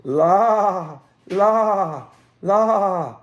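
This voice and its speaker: A man voicing a storybook shepherd who calls out to his flock in drawn-out, sing-song cries and howls. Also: high-pitched, lively intonation, dull audio, pitched above the performer's own voice, exaggerated voice. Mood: calling out.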